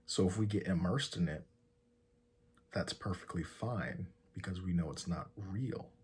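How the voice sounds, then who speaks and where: A man leading a guided meditation in a soft, breathy, husky voice, giving calm and gentle instructions with long pauses. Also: sits low.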